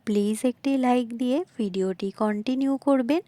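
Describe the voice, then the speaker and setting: A woman's voice: fairly high pitch, normal speed, dark tone, no room sound. A woman narrating a home cooking recipe, explaining politely and repetitively and addressing viewers directly. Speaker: home cooking video host. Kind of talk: recipe narration, instructional voice-over.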